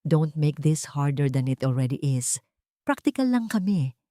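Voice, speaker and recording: A woman's voice: deep voice, very animated, normal speed, warm tone, no room sound, clean studio sound. Haughty mistress for an audio drama, voiced by a female narrator: smug, scornful, snippy delivery. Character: snooty, sharp-tongued mistress, smug and scornful.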